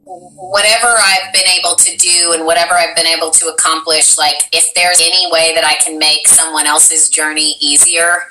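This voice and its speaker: A deep-voiced woman voice actress chatting casually, animated and full of fillers. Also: normal speed, hardly any pauses. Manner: animated, rambling with many fillers. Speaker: voice actress and teacher. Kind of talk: casual interview chat. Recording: roomy echo, distant mic, faint music.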